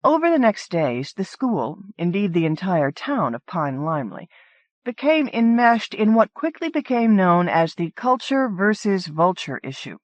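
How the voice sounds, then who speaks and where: A woman reading a story as an audiobook narrator, in an expressive storytelling manner. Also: lowish voice, measured pace, no echo. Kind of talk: scripted fiction narration.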